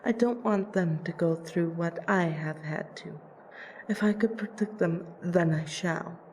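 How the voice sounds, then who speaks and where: A woman voicing a proud, protective female vampire princess in an audio roleplay, with wry, deliberate, theatrical phrasing. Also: on the low side, a little mellow, a little hiss or hum, lightly acted, rough recording. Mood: solemn, devoted. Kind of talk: ASMR audio roleplay acting.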